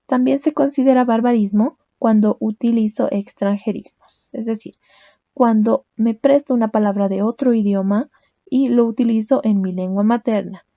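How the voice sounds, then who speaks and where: A woman's voice: on the high side, steady tone, normal speed, mellow, dry recording, highs cut off. A young woman teaching a language lesson, explaining step by step and often checking with a questioning "¿no?". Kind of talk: recorded lecture.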